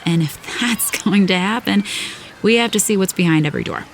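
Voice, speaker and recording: An actress in a scripted audio drama playing a young, inquisitive princess, earnest and questioning, sometimes dropping into hushed asides. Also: female voice, mid-range voice, a little fast, very noisy, natural voice. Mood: politely insistent. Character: young inquisitive princess and future queen, quietly probing, soft-hearted.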